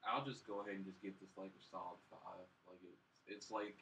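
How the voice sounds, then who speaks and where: A man's voice: low voice, steady tone, a little fast, gravelly, pushed and bright. A young man co-hosting a casual film review podcast, bantering in a blunt, dismissive way. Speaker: podcast co-host reviewing films. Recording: roomy distant mic, background noise.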